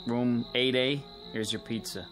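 A man's voice: medium pitch, fairly even intonation, unhurried, loud music behind the voice, close to an everyday voice. An actor playing a casual, offhand pizza delivery man in a radio drama.

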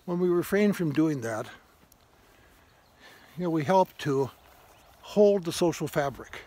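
A man in his seventies giving a thoughtful, halting informal sermon to camera as he walks outdoors, repeating phrases for emphasis. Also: on the high side, lively intonation, dark tone. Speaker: vlogger, retired parish priest. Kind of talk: reflective informal sermon to camera while walking. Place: outdoors on a grassy woodland trail. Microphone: handheld phone at arm's length.

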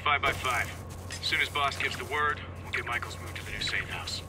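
An actor playing an armed soldier guard in clipped military radio talk. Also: male voice, medium pitch, a little fast, loud music behind the voice, lightly acted.

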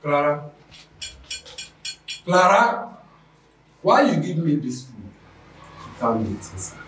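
Man as bitter husband, demanding, lively pitch, echoey distant room. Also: takes it slowly, a little hiss or hum, exaggerated voice. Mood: resentful. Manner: resentful and demanding. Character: angry jobless husband demanding food. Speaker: actor. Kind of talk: melodrama acting. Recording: echoey room from afar, faint music.

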